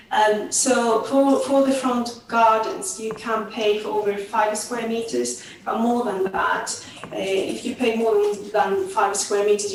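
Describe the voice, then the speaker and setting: Woman, planning officer, halting testimony, distant mic, very echoey room. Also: mid-range voice. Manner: halting, many fillers and restarts. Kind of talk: committee testimony.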